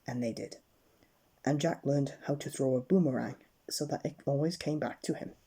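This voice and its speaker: A woman reading a bedtime story aloud with expressive, dramatic narration. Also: extremely low pitch, moderate pace, breathy edge.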